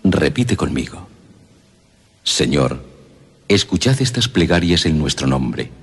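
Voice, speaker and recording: An actor playing a gentle, solemn old priest in a radio drama. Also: male voice, extremely low pitch, very animated, takes it slowly, husky, in a large hall, heavily acted.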